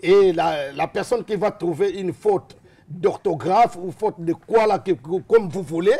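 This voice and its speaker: A man's voice: sits high, a little slow, vocal fry, warm tone, husky. A man born in Liège, talking as a guest in a casual interview, rambling and hesitant with many fillers. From Liège, Belgium.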